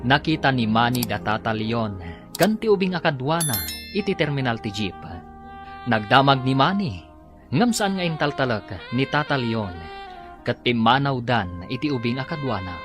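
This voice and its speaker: Theatrical male radio announcer over loud music. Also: mid-range voice, lively intonation, moderate pace. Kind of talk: dramatic scripted radio narration. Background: dramatic theme music.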